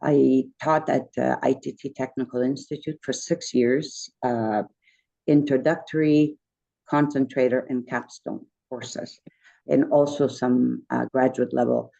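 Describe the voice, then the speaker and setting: A woman with a dark, mellow and gravelly voice introduces herself over a video call. The line sounds muffled and dull. She talks in a friendly way, drifts from point to point and keeps correcting herself. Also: a bass voice, fairly even intonation, a little slow. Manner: friendly, rambling, self-correcting. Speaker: architect and educator. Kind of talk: self-introduction in an online meeting.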